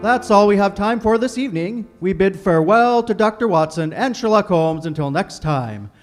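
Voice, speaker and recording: Man playing formal old-time radio announcer, highish voice. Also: animated, normal speed, over music. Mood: courteous, persuasive. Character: Paul Hartman, courteous male radio announcer and sponsor pitchman. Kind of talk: recreated 1940s radio announcing, scripted narration.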